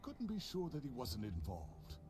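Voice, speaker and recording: A sardonic, condescending schemer in a story game, silky and smug, his pitch rolling up and down with lively swings. Clear music plays beneath him throughout the scene. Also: moderate pace. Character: scheming aristocratic male fable, smug and menacing. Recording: game audio under music.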